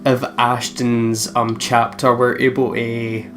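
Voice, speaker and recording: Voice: medium pitch, flat delivery, slow pace, a bit bright. A co-host talks casually through the decisions in a visual novel let's play, in a conversational way. Faint guitar music sits behind the voice. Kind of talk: casual banter about game choices.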